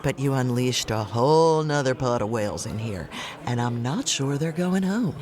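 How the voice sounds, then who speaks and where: An actor playing an excitable, friendly publican, breezy and exclamatory, in an audio drama. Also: male voice, medium pitch, moderate pace, lightly acted. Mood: teasing. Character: chatty, excitable, friendly male space publican.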